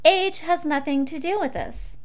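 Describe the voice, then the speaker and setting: A woman's voice: high voice, moderate pace, lightly acted. A woman voicing a moody, withdrawn thirteen-year-old boy in a visual novel, defensive and reluctant. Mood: annoyed.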